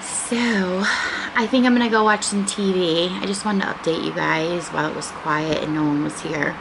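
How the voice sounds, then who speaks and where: A woman's voice: low-pitched, creaky. An American woman in her forties chatting casually to her viewers in a rambling, informal, conversational way. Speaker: vlogger. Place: small living room at home, indoors. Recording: noticeable background room noise.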